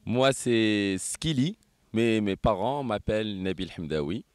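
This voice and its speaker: Male promoter, lower pitch, upbeat pitch-style delivery, dry close sound. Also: expressive, slow, no echo. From Moroccan, born in France. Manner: enthusiastic pitchman, listing and sloganeering. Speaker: event company co-founder. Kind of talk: promo interview.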